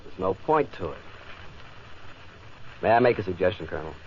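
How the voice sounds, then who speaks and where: An actor playing a blunt, resigned civilian official in a 1950s radio drama, arguing in a measured, matter-of-fact way. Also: male voice, low-pitched, expressive. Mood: resigned, insistent. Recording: dull, hissy 1950 radio recording.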